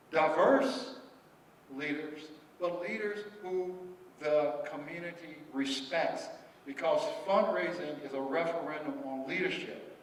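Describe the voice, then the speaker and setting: A man presenting at a public meeting, speaking with halting restarts and repeated words. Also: fairly high pitch. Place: large echoey meeting hall. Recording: echoey hall audio from far off, faint noise.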